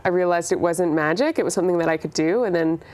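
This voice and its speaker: Low-pitched young Canadian woman chatting. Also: quick pace, darkish tone. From Canada, born in Ireland. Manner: informal, thinking aloud. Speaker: interview guest, singer-songwriter. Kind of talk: casual chat.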